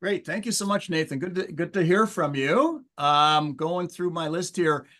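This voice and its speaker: A man's voice: on the high side, expressive, moderate pace, a bit bright. A man hosting an online meeting, greeting people in a friendly, chatty way over a video call. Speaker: meeting host. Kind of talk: casual online meeting chat.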